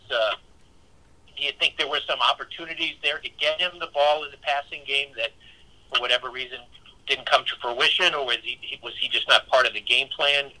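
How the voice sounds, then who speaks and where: A man's voice: medium pitch, low-quality recording. A male sports reporter asking long, clarifying questions over a telephone conference line. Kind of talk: asking questions on a press call. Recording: phone conference line, sharp and thin.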